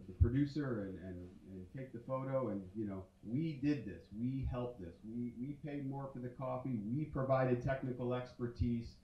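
A man in his forties giving a conference talk on stage with a handheld mic, conversational and full of fillers and restarts. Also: medium pitch. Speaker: conference speaker. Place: large dark auditorium stage. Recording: roomy hall sound from a distance, muffled.

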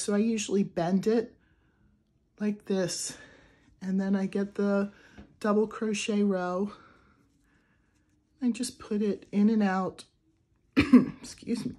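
Raspy-voiced woman, mellow tone. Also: medium pitch. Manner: chatty, with filler words and restarts. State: raspy from the flu. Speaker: crochet tutorial host. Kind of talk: casual craft tutorial. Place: indoors at a wooden table. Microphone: camera over a tabletop, hands only.

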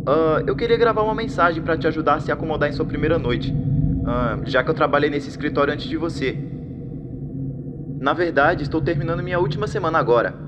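A man's voice: a little high, quick pace, very noisy, lightly acted. A Brazilian man acting as a nervous, reassuring former night guard leaving a rambling, hesitant training message in a fan dub. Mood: awkwardly reassuring. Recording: music bed under the voice.

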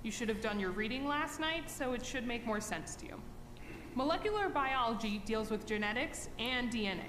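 Actress as brisk female lecturer, higher pitched, reverberant classroom with noticeable ambient noise, video game. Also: animated, close to an everyday voice. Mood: brisk, instructive. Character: businesslike female college biology professor. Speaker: teacher. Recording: echoey hall, noisy room ambience.

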